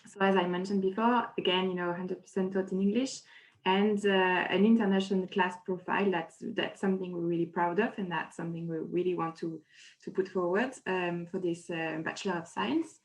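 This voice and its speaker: A woman's voice: fairly low pitch, steady tone, brisk, rough recording. A woman hosting a webinar over a video call, presenting and asking a student questions, with many fillers. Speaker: webinar host.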